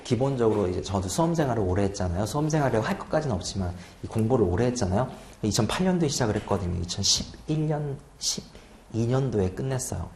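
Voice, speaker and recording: A man's voice: lowish voice, expressive, fast talker, a little mellow. Man, twenties, exam prep lecturer, casual and laughing, roomy echo, faint hum. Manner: chatty, self-deprecating, laughs often. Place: lecture room. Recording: echoey room, faint hum.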